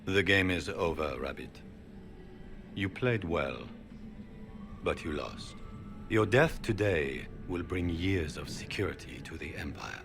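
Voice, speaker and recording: An actor playing a proud soldier emperor, giving a grand, measured speech in a video game cutscene. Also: male voice, low voice. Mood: triumphant. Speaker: newly crowned emperor. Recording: long hall reverb with music.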